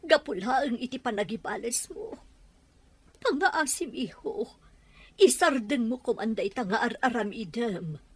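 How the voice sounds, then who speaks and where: Woman playing a battered Ilocano wife and fearful mother for audio drama; swooping, emotional delivery, slightly rough voice. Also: on the high side, expressive, measured pace. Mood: fearful, pleading. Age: middle-aged. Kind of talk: Ilocano radio-style drama acting.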